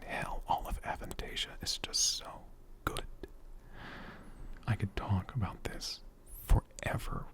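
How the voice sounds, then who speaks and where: A man speaking softly and gently in an ASMR style, breathy and often whispering, rambling unhurriedly as he answers questions off the cuff. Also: lowish voice. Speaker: ASMR creator and channel host. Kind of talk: soft-spoken ASMR, unscripted question-and-answer.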